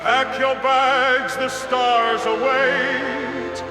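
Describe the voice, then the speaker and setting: A man's voice: very high voice, little pitch movement, drawn-out words, echoey room, long reverb tail, over loud music, exaggerated voice. A man singing as a grand crooner in an old-fashioned advertising jingle. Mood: soaring.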